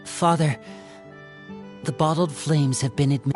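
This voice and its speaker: Game role, devoted young man: deep for female range, slow, humble, hesitant, respectful. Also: steady tone, over music. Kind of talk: video game drama dialogue.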